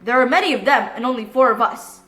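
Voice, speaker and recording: A wise sea captain, a measured man, read by a woman, speaking steadily and deliberately. The storybook voice is bright with some room echo. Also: on the high side, lightly acted. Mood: calm, resolute. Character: wise, measured adult male ship's captain.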